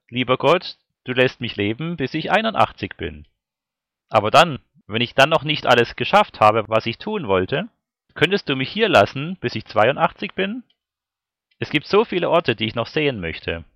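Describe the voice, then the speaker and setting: A man preaching a sermon, addressing his listeners formally and in a measured way as he reads out and explains passages. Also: medium pitch, vocal fry, fairly bright tone, no room sound. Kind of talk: sermon with scripture and verse readings.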